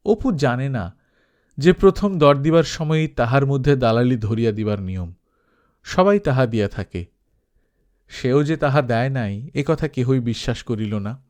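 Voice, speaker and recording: Guy reading a classic story out loud, medium pitch, audiobook narrator style. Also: lively intonation, unhurried. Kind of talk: scripted literary story narration.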